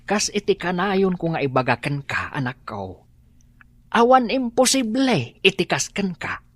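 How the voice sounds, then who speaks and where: A woman's voice: lowish voice, a bit bright, no echo, exaggerated voice. An affectionate, proud mother in an Ilocano radio drama. She speaks tenderly and encouragingly, with a widely swinging melody and an Ilocano accent. The sound is dry and close.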